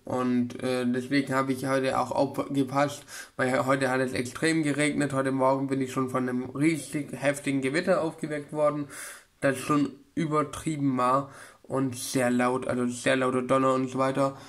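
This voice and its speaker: A man's voice: mid-range voice, little pitch movement, normal speed. A man of about twenty-one chatting casually to his webcam in a small room, rambling with many fillers and restarts. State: getting over a cold. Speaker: vlogger. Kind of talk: casual chat. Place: small room with bookshelf, indoors. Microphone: webcam at desk, close.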